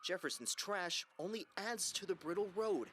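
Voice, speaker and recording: A woman reporter reading a scripted news voice-over in a measured, emphatic way. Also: lowish voice, noisy background.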